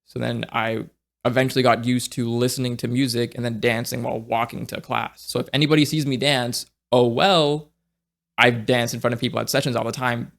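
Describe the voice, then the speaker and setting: A young man chatting as a podcast guest, reflective and halting, with many restarts and fillers as he searches for words. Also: mid-range voice, fairly even intonation, gravelly, a bit bright. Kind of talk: casual conversation.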